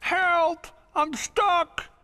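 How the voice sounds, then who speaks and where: A man's voice: on the high side, sing-song, moderate pace, gravelly, pushed and bright, no echo, pitched above the performer's own voice, exaggerated voice. A man voicing a small, timid young teddy bear who talks in breathless, whispery bursts. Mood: panicked.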